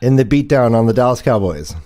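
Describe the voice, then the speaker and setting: A man's voice: medium pitch, expressive, fairly quick, warm tone. A man hosting a casual fantasy football show, bantering and announcing with jokey, theatrical emphasis. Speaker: livestream show host.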